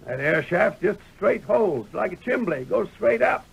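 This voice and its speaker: Very gravelly old Western prospector. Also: male voice, high voice, big pitch swings, normal speed, dull audio, full character voice. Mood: skeptical. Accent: American. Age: older. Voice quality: very creaky, gravelly. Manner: rustic frontier dialect, crusty. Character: gruff, cantankerous old male prospector, loyal sidekick. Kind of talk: 1940s American radio western acting. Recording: noisy old radio recording.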